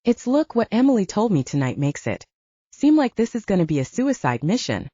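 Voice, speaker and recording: A woman's voice: mid-range voice, sing-song, brisk, dark tone, husky, no room sound, muffled recording, voice pushed up. A woman voicing an earnest, stubborn young male werewolf hero in an audiobook. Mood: pleading. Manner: pleading, wry, sighing.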